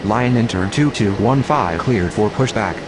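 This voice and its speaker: A man playing a calm pilot and air traffic controller in a game, giving clipped, formulaic, matter-of-fact radio calls. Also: on the low side, measured pace, over music. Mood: calm, procedural. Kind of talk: scripted aviation radio phraseology. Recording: steady engine and ambience noise.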